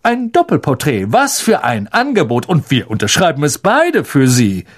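A man voicing a vain, pompous teacher who talks in a patronizing, booming, theatrical way for an audiobook. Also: wildly swooping pitch, normal speed.

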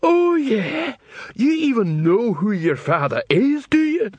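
An angry little dwarf, really high voice, taunting, audiobook. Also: male voice, big pitch swings, takes it slowly, darkish tone, breathy edge, dry recording, muffled recording, full character voice. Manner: combative, taunting. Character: small, aggressive, taunting male dwarf constable.

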